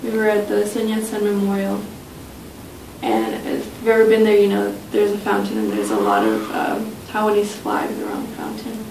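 A woman's voice: moderate pace, vocal fry, darkish tone. A young woman student casually sharing personal memories in an interview, recorded in a small office. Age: young adult. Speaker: study abroad student. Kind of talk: casual interview testimony, reminiscing. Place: small office meeting room, indoors. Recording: roomy, distant mic, faint low rumble.

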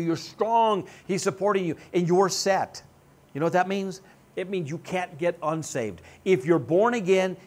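A man in his sixties preaching a sermon, animated and joking, on a church stage. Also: fairly high pitch, breathy edge. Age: 60s. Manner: animated, joking, gestures broadly while seated. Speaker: pastor. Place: church stage with band gear.

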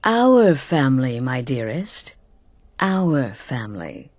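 An actress playing a poised, seductive leader of an assassins' guild in a video game, measured and knowing. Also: female voice, rumbling low, big pitch swings, darkish tone, dry recording, full character voice. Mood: welcoming, knowing.

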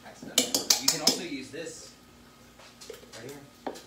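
A man's voice: on the high side, moderate pace, roomy sound. Playful man, distant in a noisy kitchen. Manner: playful, teasing. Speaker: co-host of a home cooking vlog. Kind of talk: casual bantering chat while cooking. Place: home kitchen, indoors. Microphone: camera across the room.

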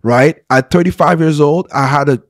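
A man's voice: on the high side, normal speed, dry recording. Earnest man in his thirties, dry sound. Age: 30s. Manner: earnest, repeats words and restarts, checks in with "right?" and "okay". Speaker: pastor and podcast guest. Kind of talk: candid personal storytelling. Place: small podcast studio. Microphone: close studio podcast mic.

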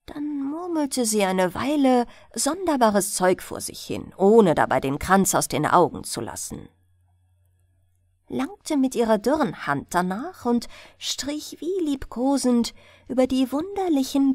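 A woman's voice: on the high side, unhurried, no room sound, studio quality. A woman reading a bedtime fairy tale expressively as an audiobook narrator. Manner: expressive storytelling.

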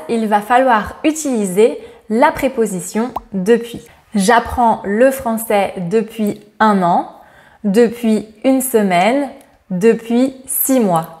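A woman in her thirties teaches grammar straight to camera, her voice sitting a little high. She talks in a lively, smiling way and slows right down on each example sentence. It is a close lapel-mic recording in a bright indoor room. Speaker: French teacher. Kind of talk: scripted grammar lesson to camera.

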